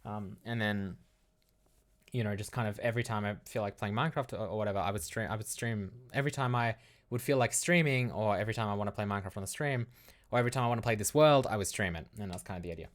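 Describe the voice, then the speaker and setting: A man's voice: lowish voice, fast. An Australian man chatting casually as a livestream host, rambling loosely and thinking aloud. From Melbourne, Australia.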